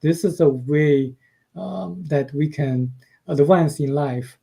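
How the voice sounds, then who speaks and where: Man, Chinese accent, interview guest, hesitant, mellow, fairly high voice. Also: takes it slowly, creaky, husky. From China. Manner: hesitant, many uh fillers, soft-spoken. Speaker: guest author and translator. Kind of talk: radio interview.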